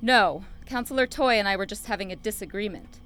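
A woman's voice: medium pitch, fairly quick, a bit bright, a little hiss or hum, close to an everyday voice. A woman playing a competitive, combative starship doctor in a parody radio play.

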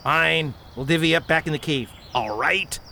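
An actor playing a scheming, bossy leader of a counterfeiting gang in a western radio drama, curt in manner. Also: male voice, on the high side, moderate pace, creaky, sharp tone, full character voice. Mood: tense, grudging. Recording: faint outdoor ambience and noise.

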